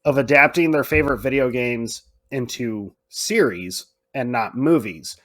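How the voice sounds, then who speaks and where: A man's voice: mid-range voice, unhurried, fairly bright tone. A man co-hosting a podcast, chatting casually with strong opinions in colloquial, emphatic language. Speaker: podcast co-host.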